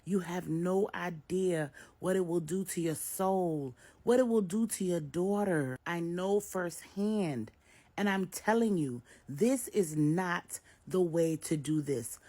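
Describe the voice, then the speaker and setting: A woman's voice: lowish voice, slow, warm tone, pitched above the performer's own voice. A woman voicing an anxious, protective older mother in a bedtime story, imploring and emphatic. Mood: imploring, grave.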